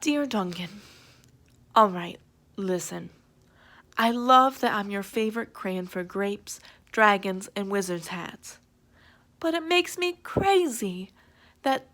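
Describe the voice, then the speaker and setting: A woman's voice: fairly high pitch, a bit bright, exaggerated voice. A woman voicing a fussy, neat purple crayon close to losing it, dramatic, in a children's storybook. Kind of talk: children's storybook read-aloud.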